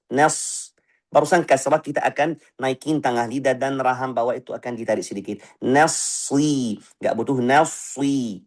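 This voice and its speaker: A man giving a Quran recitation lesson, firm and exacting. He voices wrong and right pronunciations side by side, drawing out single syllables slowly for students to copy. His voice sits at an ordinary middle pitch for a man. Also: a little mellow. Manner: corrects mistakes by voicing wrong and right pronunciations in turn; firm, repeats short syllables slowly for drilling. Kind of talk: tajwid lesson with spoken recitation examples.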